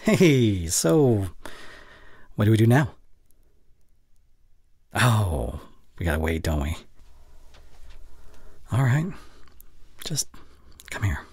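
Husky, low-voiced anxious young boyfriend, acted by a man. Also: sing-song, drawn-out words, pauses often, heavily acted. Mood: gentle, reassuring. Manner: gentle, soft-spoken, reassuring, often breathy whispering. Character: young anxious but tender boyfriend facing fatherhood. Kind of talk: intimate ASMR boyfriend roleplay.

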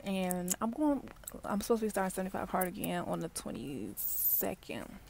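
A woman, chatting casually in a parked car for an ASMR video, often dropping to soft whispers, recorded on a wired earbud mic. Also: mid-range voice, sing-song, moderate pace, vocal fry. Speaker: ASMR vlogger. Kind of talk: ASMR gum-chewing car chat. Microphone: wired earbud mic held near mouth. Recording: faint background noise, mouth clicks.

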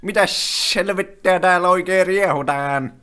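A man voicing a grumpy male mole in an improvised comedy cartoon dub, with slow, drawn-out grumbling. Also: high voice, bright, forceful tone, clear, resonant tone.

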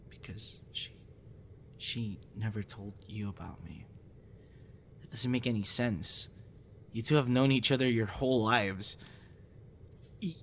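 A young man performing an intimate audio roleplay as a troubled guy confiding in a close friend after a breakup, halting and stammering. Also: lowish voice, fairly bright tone. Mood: upset, incredulous. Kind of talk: intimate ASMR boyfriend roleplay, acted monologue. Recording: noticeable background noise.

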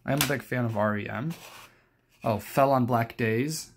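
A man's voice: mid-range voice, moderate pace, slight background noise. A young adult man giving a casual, unscripted show-and-tell, rambling hesitantly and often correcting himself. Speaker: collector presenting his cassette collection.

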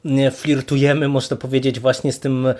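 A man's voice: medium pitch, little pitch movement, moderate pace, a little mellow. A man hosting a podcast, discussing and reviewing in a casual, hesitant way with many repeated words. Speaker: podcast host.

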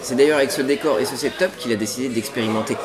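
A man's voice: medium pitch, very fast, music in the background, crowd noise. A man in his twenties with a French accent, casually co-hosting a web show with wry jokes. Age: 20s. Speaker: co-host of a web culture show. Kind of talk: casual presenting to camera. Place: diner booth with red seats.

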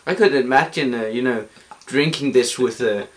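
A man's voice: mid-range voice, normal speed. A young man with a Danish accent, a native Danish speaker, chatting casually on camera about a beer he is tasting, rambling with lots of fillers and restarts, recorded indoors on a camera in front of him. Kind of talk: casual chat. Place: indoor room.

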